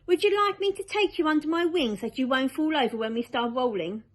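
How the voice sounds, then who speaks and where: A woman's voice: sits high, animated, a little fast, voice pushed up. A woman voicing a kind, motherly ladybird in a storybook reading, explaining things in an earnest, reassuring way. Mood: helpful, explaining.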